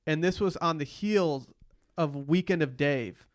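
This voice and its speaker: A man's voice: a little high, unhurried. A thirty-year-old man co-hosting a podcast, chatting casually about a reality TV show. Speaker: podcast co-host. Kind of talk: casual chat recapping reality TV.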